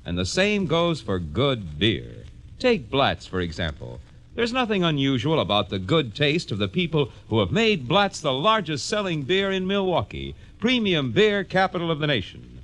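A man's voice: huge pitch swings, moderate pace, fairly bright tone. A man reading a scripted vintage radio advertisement as a polished announcer selling a product. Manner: polished sales pitch. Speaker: beer commercial announcer.

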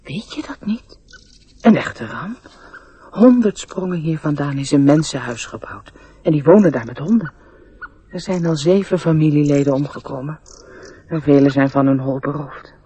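A man's voice: fairly high pitch, moderate pace, warm tone, husky. A man acting a courteous, aggrieved rabbit with a lamenting manner in a radio play. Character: polite, aggrieved adult rabbit burrow owner.

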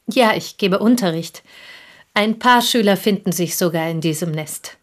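An actress playing a reserved provincial piano teacher and confidante in a German radio play, speaking with courteous restraint. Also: female voice, medium pitch, normal speed, lightly acted. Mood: restrained.

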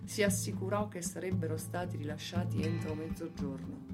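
A woman's voice: fairly low pitch, moderate pace, natural voice. Indignant actress as a woman orator over prominent guitar music. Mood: fierce, urgent. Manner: accusatory, theatrical, swinging from hushed to loud. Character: indignant woman orator accusing those who shot workers. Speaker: actress reciting a monologue. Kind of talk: impassioned radio theatre recitation. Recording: music bed under the voice. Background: plucked guitar music.

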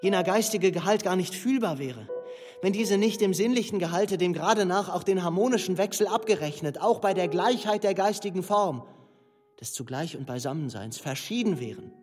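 A man giving a solemn, measured and formal literary reading of philosophical prose. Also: sits high, animated, darkish tone. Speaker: reader. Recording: long reverberant hall, music underneath.

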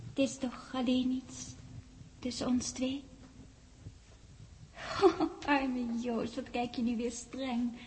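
An actress playing a childlike, bossy young girl who teases playfully, in a 1960s radio drama. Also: high voice. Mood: teasing. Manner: playful, teasing, chirpy. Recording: old 1960s radio, steady hiss.

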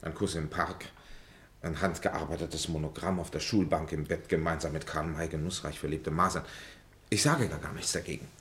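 A deep male voice of a middle-aged doubter among old schoolmates. He is mocking and ironic, leaning on his elaborate phrases with theatrical emphasis. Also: fairly even intonation, moderate pace, a bit bright. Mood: sceptical, ironic. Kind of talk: theatrical radio play dialogue.

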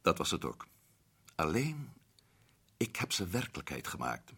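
A man's voice: sits low, huge pitch swings, measured pace, fairly bright tone, husky, full character voice. An actor playing a sardonic middle-aged man, back from years of adventure abroad, in an old radio play. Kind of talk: radio drama acting.

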